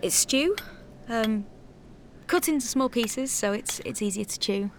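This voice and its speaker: An actress playing a gentle, awkward, caring village woman in a naturalistic audio drama, speaking haltingly. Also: fairly high pitch, slight background noise, lightly acted. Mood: tentative, awkward. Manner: tentative, halting, kindly.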